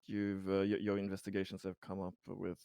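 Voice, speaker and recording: A man's voice: low voice, monotone, fairly quick, creaky, dark tone, clear, resonant tone, dry recording, clean studio sound. A man improvising a hesitant, polite, earnest colony official in a sci-fi roleplaying game, stammering and deferential. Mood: grateful, earnest. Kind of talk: improvised in-character tabletop roleplay.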